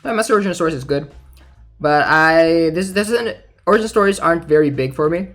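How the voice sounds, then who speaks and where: A young man giving casual, informal game news commentary as a YouTuber, thinking out loud in a hesitant way. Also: a little high, lively intonation, quick pace. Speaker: gaming YouTuber. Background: music.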